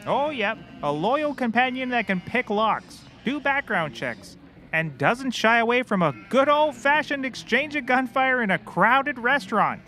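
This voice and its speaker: A man acts out a bumbling, eager male private eye in an audio comedy. His voice is extremely high for a man, bright and swooping, with an excitable, oblivious earnestness. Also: a little slow, heavily acted. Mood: wistful. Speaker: actor in a scripted comedy series. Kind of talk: scripted audio comedy acting.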